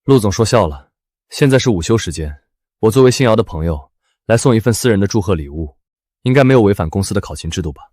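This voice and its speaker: An actor playing a polite but defiant young director, a rival suitor in a dubbed animated romance, formal and controlled. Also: male voice, on the low side, quick pace, dry recording, clean studio sound. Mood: politely defiant.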